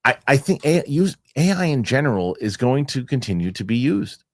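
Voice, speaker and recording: A man hosting a casual podcast chat, animated and rambling, often restarting his sentences. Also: mid-range voice, moderate pace, no echo. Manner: animated, rambling, self-correcting with repeated starts. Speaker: show host.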